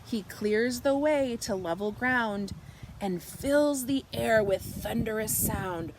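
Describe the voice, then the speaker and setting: A woman reading a picture book aloud to young children, expressive and animated, drawing out her words and softening for bedtime lines. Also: a little high, crowd noise, rough recording. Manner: slow, animated delivery with drawn-out words and hushed, whispered good nights. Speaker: children's storybook reader.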